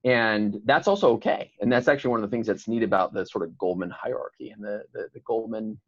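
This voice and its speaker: Fast-talking low-voiced man. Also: husky, no echo, dull audio. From Chicago. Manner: fast, rambling, many fillers and restarts. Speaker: podcast guest, entrepreneur. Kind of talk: casual interview conversation.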